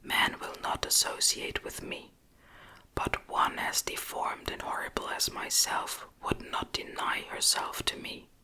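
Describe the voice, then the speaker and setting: Female ASMR audiobook reader, fully whispered, unhurried and deliberate, with a very bright, airy edge. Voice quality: soft, breathy, unvoiced whisper. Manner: slow, gentle, deliberate reading. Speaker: audiobook narrator.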